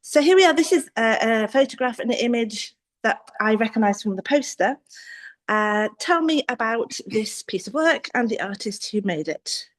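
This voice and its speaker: A woman's voice: on the high side, lively intonation, moderate pace. A woman presenting a live walk-through on a video call, informal and enthusiastic. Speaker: roving presenter filming a gallery tour. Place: indoors in a gallery landing and exhibition space. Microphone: handheld device on a video call.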